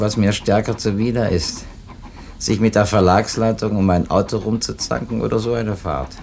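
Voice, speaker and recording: A man's voice: lowish voice, a little mellow. An actor playing a seasoned, matter-of-fact male publishing editor in a 1970s German radio drama, speaking dryly and emphatically. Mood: wry, weary. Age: middle-aged or older. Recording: noisy 1970s radio drama, train ambience.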